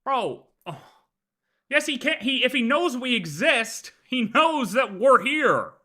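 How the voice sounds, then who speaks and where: A man's voice: very high-pitched, sing-song, slow, bright, forceful tone. A man chatting casually on a game livestream, arguing his points insistently and repeating himself. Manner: argumentative, repeats points, swears. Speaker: game streamer. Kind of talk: livestream reaction, casual chat.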